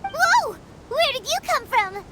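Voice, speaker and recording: A woman voicing a tiny, excitable female companion creature who blurts out curious questions in a video game. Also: extremely high pitch, slow, background noise, heavily acted. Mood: surprised. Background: game music.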